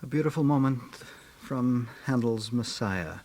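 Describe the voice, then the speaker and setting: A man's voice: medium pitch, unhurried, darkish tone. A man hosting a radio interview, asking long, rambling questions and murmuring agreement while his guest speaks.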